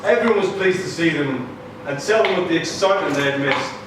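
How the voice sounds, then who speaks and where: A man's voice: fairly high pitch, a little fast, noisy background. A man telling a scripted puppet-show story as narrator at a live performance. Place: live puppet theatre show, far from mic. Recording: echoey hall, distant mic, loud music.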